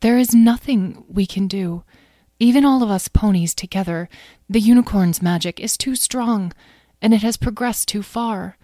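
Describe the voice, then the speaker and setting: A woman voicing a gentle, solemn mare pony who speaks slowly and gravely. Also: medium pitch, dry recording, clean studio sound, voice pushed up, close to an everyday voice.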